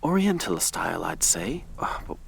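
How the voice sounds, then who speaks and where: An actor playing a sardonic, jumpy grave robber in an audio drama, swinging from muttered asides to startled exclamations. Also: male voice, sits low, big pitch swings, normal speed, gravelly, breathy edge, no room sound, full character voice. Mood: curious, wry.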